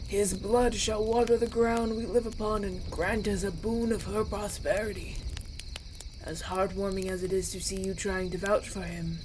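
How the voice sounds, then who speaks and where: A woman's voice: medium pitch, noisy background, close to an everyday voice. Stern, solemn village elder, acted by a woman. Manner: formal, solemn, declarative. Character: stern, unyielding village elder, coldly devout. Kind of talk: roleplay audio acting.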